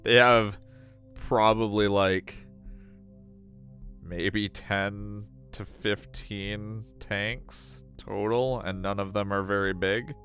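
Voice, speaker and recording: A man chatting casually as a game streamer, halting often as he searches for words and leaning on filler words. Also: on the low side, fairly even intonation, a bit bright. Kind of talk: casual chat. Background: music.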